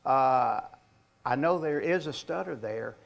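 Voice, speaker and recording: An older man in his sixties or beyond who stutters, giving an informal talk as an author in a halting way with repeated words. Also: mid-range voice, lively intonation, gravelly, lo-fi sound. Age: 60s or older.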